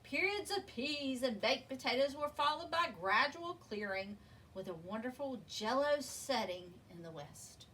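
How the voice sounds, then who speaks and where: High, lively woman reading a children's story. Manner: expressive, deliberate, drawn-out emphasis. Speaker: storybook reader. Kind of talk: read-aloud children's story narration. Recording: distant mic with faint background noise.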